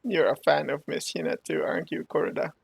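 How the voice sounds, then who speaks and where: A man with a gravelly voice giving casual reactions as he streams his video game playthrough. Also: on the high side, steady tone, brisk, no echo. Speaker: gaming streamer.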